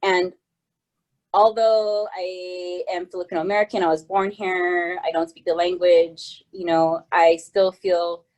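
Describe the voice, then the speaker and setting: A woman's voice: on the low side, flat delivery, a little slow, darkish tone, highs cut off. A woman in her thirties from California, a physician speaking earnestly and reflectively, with fillers. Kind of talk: video testimonial.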